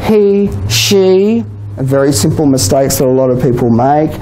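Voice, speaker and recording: A man with an Australian accent giving a relaxed exam-prep lecture to a class, often checking in with "okay?" and "you know?". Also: a little high, measured pace, a little hiss or hum, rough recording. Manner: chatty, many "okay?" and "you know?" tags, laughs often. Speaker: IELTS teacher.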